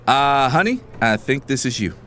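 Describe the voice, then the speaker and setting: A man's voice: mid-range voice, sing-song, moderate pace, a bit bright, slight background noise. An actor playing an earnest, affectionate businessman fiancé, eager and slightly bashful, in an audio drama.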